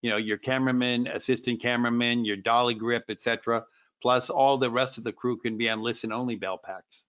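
A man's voice: mid-range voice, steady tone, brisk, a bit bright, smooth, highs cut off. A man co-hosting a webinar, casually asking and talking about technical matters over a video call. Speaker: webinar co-host.

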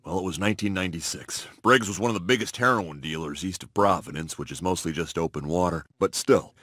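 An actor playing a hot-blooded middle-aged cop in a wheelchair, with intense, emphatic delivery in an animated sitcom. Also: male voice, sits low, fast talker, dry recording, studio quality. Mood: grave, confessional. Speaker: police officer.

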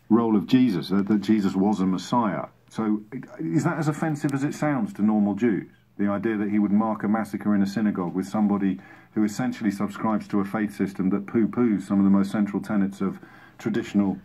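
A man's voice: lowish voice, warm tone. A man hosting talk radio with an emphatic, opinionated monologue full of rhetorical repetition, heard from a radio speaker. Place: played through a portable radio indoors. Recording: radio speaker filmed in a room.

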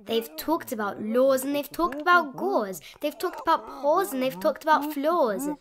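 A woman reading a rhyming children's picture book aloud in an expressive sing-song manner. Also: sits high, normal speed, a crowd in the background. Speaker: storybook reader.

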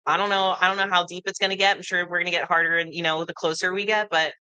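A woman's voice: lowish voice, little pitch movement, pushed and bright, clear, resonant tone, no echo, lo-fi sound. A woman in her thirties co-hosting a casual podcast, upbeat and emphatic, recorded indoors on a tabletop mic. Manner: upbeat, emphatic, quick agreeing interjections. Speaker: podcast co-host, competitor and coach. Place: indoor living room.

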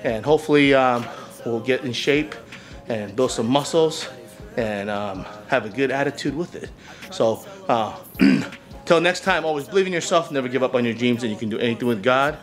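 A middle-aged man talks casually to a camera like a vlogger showing people around. His delivery is halting, feeling for the next word. The sound bounces off the hard walls of a garage, and music plays underneath. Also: mid-range voice, animated. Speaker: vlogger, channel host. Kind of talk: casual home tour vlog narration. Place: small garage home gym, indoors. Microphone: camera mic, handheld or a few feet away. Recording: echoey garage with music behind.

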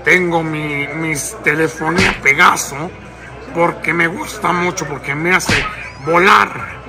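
Man putting on slow, boozy, courteous crooner. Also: fairly high pitch, fairly even intonation. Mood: courteous, naive. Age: young adult. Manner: slow, drawling, polite, breaking into song. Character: impression of a boozy, courteous old-time male crooner. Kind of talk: prank phone call. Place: large public lounge indoors. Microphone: camera at arm's length. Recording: music playing behind.

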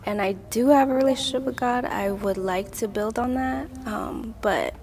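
A woman's voice: on the high side, moderate pace, noisy background. An American woman of about thirty giving casual, hesitant answers on a dating show. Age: 30s. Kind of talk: casual on-camera interview answers.

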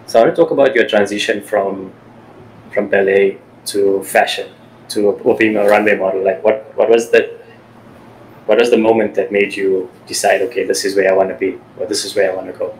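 Middle-aged man, lowish voice, host, halting restarts, supportive, faint hiss. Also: little pitch movement, low-quality recording. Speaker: podcast host. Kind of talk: interview questions. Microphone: over-ear headphones, video call.

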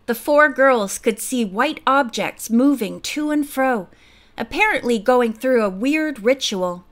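Woman narrator, audiobook reading, steady, fairly high voice. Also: measured pace. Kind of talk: storybook reading.

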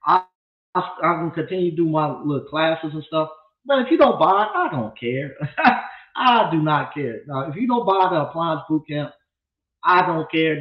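A man in his fifties hosting a casual livestream from a small home studio, talking bluntly in a loose, rambling way with lots of restarts. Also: a little high. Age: 50s. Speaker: appliance repair instructor and channel host. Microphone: desk mic on a boom arm. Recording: echoey room, tinny phone-like sound.

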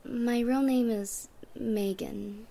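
Woman acting a creaky, soft-toned young goth newbie. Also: fairly high pitch, steady tone, slow pace, slight background noise. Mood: shy, earnest. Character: shy young goth newbie college girl, first-year. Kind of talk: ASMR roleplay, casual chat.

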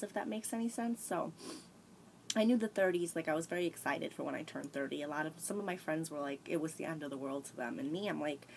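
A woman's voice: sits low, slight background noise. A woman in her thirties talking candidly and rambling in a casual home vlog, recorded on a tablet in a small sunny room. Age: 30s. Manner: rambling, candid, frequent restarts and sighs. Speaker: vlogger. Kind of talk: casual confessional chat. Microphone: tablet camera at arm's length.

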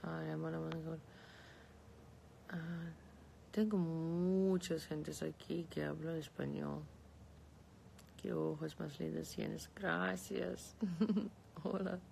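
A relaxed Russian woman in her thirties, lying in bed and chatting into a phone held close. Her voice has a slight gravelly edge, and a faint hum of background noise sits under it. She has a Russian accent and answers viewer comments casually. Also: deep voice, takes it slowly, long pauses. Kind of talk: casual live chat, switching between languages. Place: bedroom, lying against pillows indoors.

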